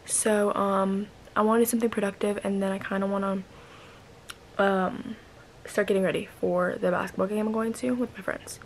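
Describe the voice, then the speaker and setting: A woman's voice: mid-range voice, level tone, normal speed, poor audio. A girl in her early teens chatting casually to camera in a daily vlog, rambling and often trailing off. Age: young teenager, about 13. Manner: rambling, trailing off with "but yeah". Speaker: vlogger. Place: bedroom at home, sometimes inside a car. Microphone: camera held at arm's length. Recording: clear background noise, handheld camera audio.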